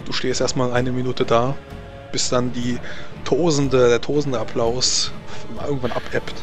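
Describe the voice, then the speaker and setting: A man's voice: medium pitch, level tone, unhurried. Male game master over faint music. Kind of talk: narrating a tabletop roleplaying game.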